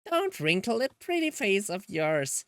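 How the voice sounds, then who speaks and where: A woman's voice: medium pitch, big pitch swings, measured pace, no room sound, clean studio sound, pitched above the performer's own voice. A woman voicing a breezy, cryptic and sinister young woman from a visual novel, teasing in a sing-song cheer. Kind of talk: visual novel character dubbing.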